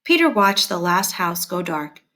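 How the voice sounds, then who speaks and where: A woman teacher reading scripted educational material aloud in a clear, deliberate and expressive way. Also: fairly low pitch.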